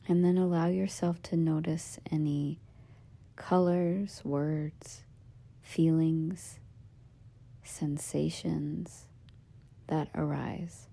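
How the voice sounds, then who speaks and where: Female meditation guide, husky half-whisper with creaky edges, slow and soothing. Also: low voice, level tone. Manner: gentle, soothing, measured instructions in short phrases with pauses. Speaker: yoga nidra meditation teacher.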